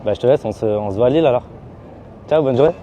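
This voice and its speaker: A man with a very dark, mellow voice chats up a stranger in a metro passageway, flirty and teasing with loose slang. His voice stays mid-pitched, with faint noise in the background. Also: quick pace. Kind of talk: casual street chat.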